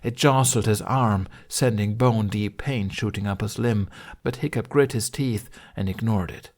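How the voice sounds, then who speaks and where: Low-voiced man narrating a dramatic audiobook. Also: moderate pace, a little mellow. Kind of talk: dramatic scripted fiction narration.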